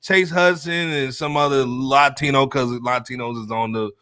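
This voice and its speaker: A man's voice: mid-range voice, steady tone, normal speed, pushed and bright, clear, resonant tone, no echo. A man with an American accent hosting a podcast in casual, slangy banter. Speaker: podcast host.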